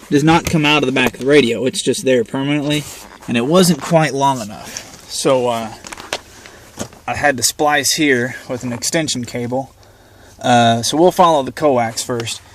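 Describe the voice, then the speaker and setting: Man giving casual how-to, noisy recording. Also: mid-range voice. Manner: plain-spoken, colloquial, explains step by step. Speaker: presenter of a hands-on installation guide. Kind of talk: casual unscripted how-to demonstration.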